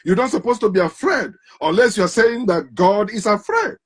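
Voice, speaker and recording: Husky high-voiced man preaching. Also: big pitch swings, normal speed. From Africa. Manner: forceful, emphatic, repeats rhetorical questions. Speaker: commentator on religion. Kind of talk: impassioned preaching monologue.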